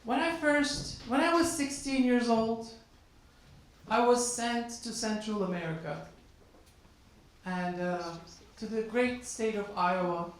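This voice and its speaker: A man in his forties from Turkey with a Turkish accent, doing stand-up comedy and chatting with the crowd in a lively, teasing way. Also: very high voice, takes it slowly. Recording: very echoey, noisy room.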